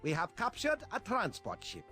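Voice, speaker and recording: A man's voice: sits high, huge pitch swings, normal speed. A man playing a loyal Mongol soldier giving terse military reports to his Khan in a strategy game. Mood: dutiful. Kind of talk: dramatic game dialogue. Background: music.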